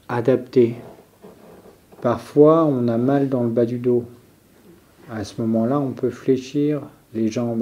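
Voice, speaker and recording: A man leading a guided yoga nidra relaxation as a teacher, giving calm, measured instructions with pauses. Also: medium pitch.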